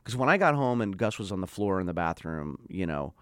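A man's voice: sits low, sing-song, brisk, fairly bright tone, clear, resonant tone, no room sound, studio quality. A middle-aged man telling a story in a casual interview, chatty and full of "like", acting out what people said. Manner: chatty, lots of "like", acts out quoted voices. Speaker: guest.

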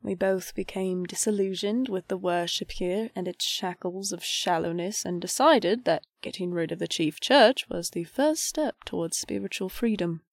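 A woman's voice: fairly low pitch, moderate pace, gravelly, hardly any pauses, dry recording, clean studio sound. A young woman playing a disillusioned, grieving teenage priestess in an audio drama, speaking in a formal, articulate way. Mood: guarded, defiant. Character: disillusioned nineteen-year-old priestess, defiant, grieving, bitter.

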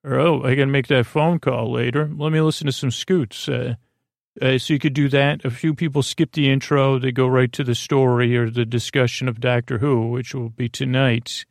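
A man with a creaky voice hosting a bedtime podcast in a halting, meandering, lulling improvised monologue. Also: mid-range voice, steady tone, no echo, clean studio sound. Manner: meandering, halting, trails off into tangents; soothing, lulling. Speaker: podcast host.

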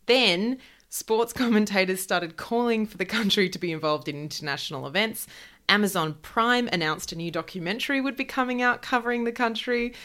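A woman's voice: mid-range voice, brisk, a bit bright. A woman, chatting casually about the news as a podcast co-host.

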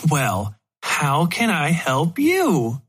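A man voicing a caring, professional woman in an audio horror story, speaking with measured, placating concern. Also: on the high side, huge pitch swings, a bit bright, breathy edge, pitched above the performer's own voice, exaggerated voice. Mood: polite, coaxing.

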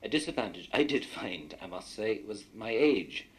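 A man with an Irish accent and a very low, husky voice. His first language is Irish. He talks in a loose, anecdotal way, wandering through his memories as a guest in a discussion. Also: big pitch swings, moderate pace. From Ireland. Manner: anecdotal, rambling recollection. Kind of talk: radio discussion.

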